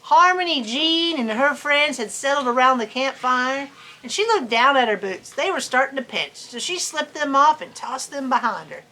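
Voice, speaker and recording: A woman with a high, bright voice reads a children's story aloud. Her delivery is folksy and playful, and she slips easily between narration and casual chat. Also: lively intonation, normal speed, rough recording. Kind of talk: animated storybook reading with casual chatty asides.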